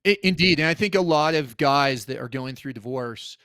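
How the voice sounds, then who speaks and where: Halting podcast host, smooth dry voice. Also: male voice, mid-range voice, lively intonation, sharp tone, no echo. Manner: halting, many restarts and fillers. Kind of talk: interview questions.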